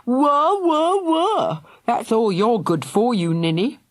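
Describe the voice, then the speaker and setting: A woman's voice: medium pitch, big pitch swings, moderate pace, no room sound, studio quality, pitched above the performer's own voice. Woman voicing a snarling, spiteful little brother. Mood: furious. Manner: snarling, shouting insults.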